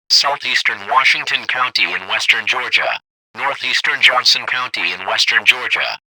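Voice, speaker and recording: A man's voice: mid-range voice, bright, forceful tone, no room sound, low-quality recording. A synthetic-sounding man reading scripted emergency weather warnings in a formal, impersonal, clipped way. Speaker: emergency alert announcer.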